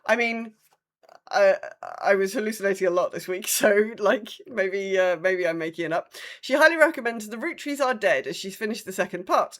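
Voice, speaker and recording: A woman's voice: medium pitch, moderate pace. A woman co-hosting a podcast in a casual, informal style, often doubling words for emphasis. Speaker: podcast co-host. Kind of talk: casual podcast chat, reading community updates.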